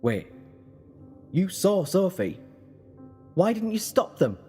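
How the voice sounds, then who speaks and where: A man playing a quick-witted, sardonic time-travelling adventurer in an audio drama, speaking in a wry, emphatic way. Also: high voice, very animated, dark tone, husky, heavily acted. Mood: urgent, accusing. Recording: reverberant, music underneath.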